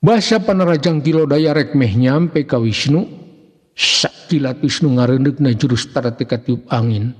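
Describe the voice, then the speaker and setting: Husky Sundanese storyteller man in heavy reverb. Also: medium pitch, unhurried. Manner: theatrical, expressive delivery. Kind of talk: dramatic scripted narration of a folk mystery tale. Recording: long hall-like reverb.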